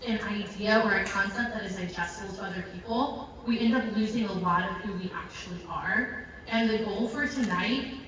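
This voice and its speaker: A woman introducing a live panel as a nonprofit founder, rambling and excited with many fillers and self-corrections. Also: medium pitch, brisk, background noise. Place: large event hall with audience. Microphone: handheld mic, heard from a distance. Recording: echoey hall recorded from far off.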